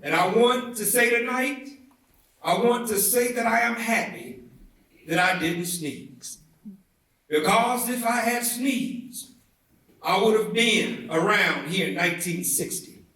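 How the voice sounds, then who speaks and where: A man giving a dramatic, oratorical reading of a speech, with deliberate, measured phrasing and emphatic swells. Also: sits high, a bit bright. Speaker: reader at a commemorative event. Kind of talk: dramatic reading of a historic civil rights speech. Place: indoor theatre event, heard from a distance. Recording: echoey indoor hall, far from the mic.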